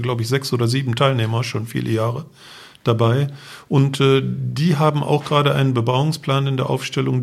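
A man with a mid-pitched, gravelly-edged voice talks as an interview guest. He explains things in a matter-of-fact, detailed way, in a conversational storytelling style. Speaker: interview guest, enduro event organizer; architect for the city.

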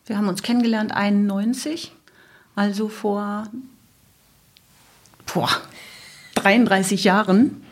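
A woman's voice: medium pitch, steady tone, takes it slowly, pauses often. A woman, chatting casually as a podcast guest in a living room. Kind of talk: casual chat.

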